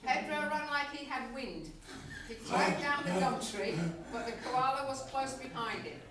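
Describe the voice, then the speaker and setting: A woman's voice: high voice, moderate pace, crowd noise. Echoey, distant woman narrating a puppet story. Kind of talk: storybook narration for amateur comic puppet show. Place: room in a nursing home. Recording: very echoey, noisy, distant room recording.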